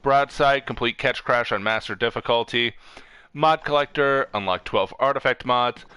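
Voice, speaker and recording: A man's voice: mid-range voice, normal speed, pushed and bright, clean tone, no echo. A man hosting a casual gaming stream, reading off lists informally, stumbling over words and correcting himself. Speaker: streamer host.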